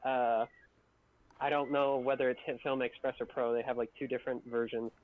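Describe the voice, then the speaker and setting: A man's voice: mid-range voice, flat delivery, brisk, dry recording. A man chatting informally on a livestream, explaining things and giving his opinions. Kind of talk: casual livestream chat. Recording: thin, phone-like voice call sound.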